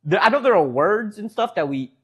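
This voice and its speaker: A man's voice: high voice, animated, a little fast, husky, muffled recording. A man with a Midwestern American accent streaming from home, rambling casually to his chat and laughing often.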